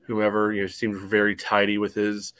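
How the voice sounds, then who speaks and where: A man's voice: lowish voice, monotone, brisk, fairly bright tone, clear, resonant tone, no echo, studio quality. A man giving casual soccer analysis on a podcast, padding his points with frequent conversational asides. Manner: leans heavily on "you know" asides. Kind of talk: casual match analysis discussion.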